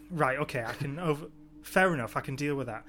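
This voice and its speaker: A man's voice: fairly high pitch, normal speed, slight background noise. A man chatting casually on a podcast, complaining sarcastically about a TV episode. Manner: exasperated, sarcastic, animated complaining.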